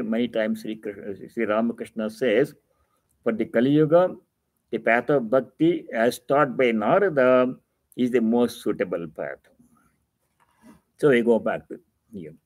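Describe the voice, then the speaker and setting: Male monk, medium pitch, teaching from scripture; emphatic, instructive delivery with repeated key phrases, closely recorded with little room sound. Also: no room sound. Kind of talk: religious discourse, reading aloud and explaining.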